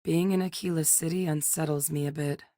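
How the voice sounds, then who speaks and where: An actress voicing a composed female military xenoweapons scientist in a video game, measured and professional. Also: sits low, fairly even intonation, mellow, dry recording, clean studio sound, natural voice. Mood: calm. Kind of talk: game voice lines. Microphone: studio mic.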